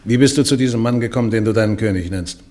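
An actor in a German radio play playing a church inquisitor who questions the accused in a measured, pointed way. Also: male voice, fairly low pitch, darkish tone, lightly acted. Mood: probing.

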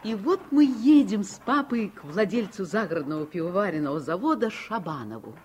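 An actress playing a tender storyteller recalling childhood, with measured, lyrical literary narration in a Soviet radio play. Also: female voice, mid-range voice, very animated, a little mellow, a little hiss or hum, natural voice. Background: music.

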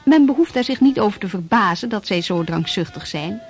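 An actress playing a formal reciter of old historical documents in a scripted radio drama. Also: female voice, mid-range voice, expressive, a little fast, faint music behind, close to an everyday voice. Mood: disapproving.

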